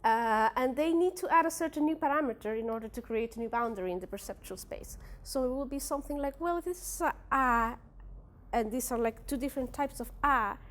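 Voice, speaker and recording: A woman's voice: high voice, brisk. A young woman from a Spanish-speaking country, a native Spanish speaker with a Spanish accent, presenting her research at a workshop with many fillers and restarts. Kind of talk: academic presentation.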